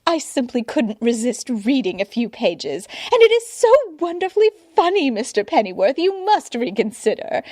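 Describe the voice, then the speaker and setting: A woman playing a shrill gushing society matron. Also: sing-song, normal speed, few pauses, darkish tone, no echo, clean studio sound.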